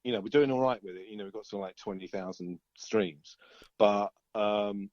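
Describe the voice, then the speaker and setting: A man's voice: fairly low pitch, fairly even intonation, fairly quick, darkish tone, no echo, dull audio. A middle-aged British man, a musician chatting casually in an interview, rambling through stories in a chatty way. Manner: chatty, rambling storyteller, often chuckling.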